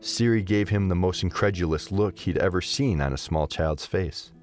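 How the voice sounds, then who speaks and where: A man's voice: sits low, normal speed, darkish tone, soft background music. A man narrating a scripted story as the narrator of an audio drama.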